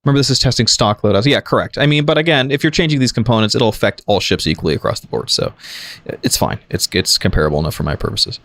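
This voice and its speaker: A man's voice: on the low side, animated, quick pace, a bit bright, no echo. A man hosting a gaming livestream, thinking aloud in an analytical, jokey way with casual commentary. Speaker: livestream gamer, host.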